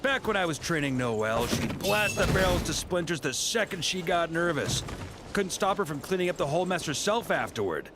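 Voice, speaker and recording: A man's voice: a little high, sing-song, a little fast, heavy background noise. Jolly game knight captain, folksy and boisterous, loves his booze, voice swings all over. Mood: amused, reminiscing. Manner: jovial, folksy, clipped storytelling. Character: hearty, boisterous adult male knight commander, fond of drink. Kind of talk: video game voice acting.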